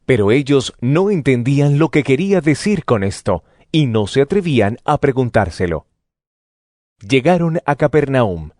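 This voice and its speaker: A man's voice: medium pitch, very animated, normal speed, darkish tone, no room sound, studio quality. A man narrating scripture for an audio drama in a scripted storytelling style.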